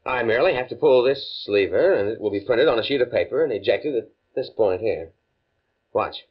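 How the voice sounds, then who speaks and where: Stuffy academic man, earnest and naive, slightly husky, very animated pitch, muffled old-radio sound. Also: brisk. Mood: confident, eager. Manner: precise, stuffy, stammers when flustered. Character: earnest, pedantic, naive male university computer scientist. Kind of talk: 1950s radio drama acting. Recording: muffled vintage 1950s radio recording.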